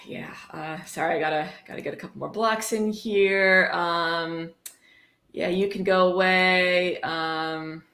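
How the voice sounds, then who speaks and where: A woman's voice: on the low side, steady tone, takes it slowly, fairly bright tone. A woman hosting a mocking livestream book reading, sarcastic, irreverent and profane, breaking off into casual commentary. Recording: echoey room.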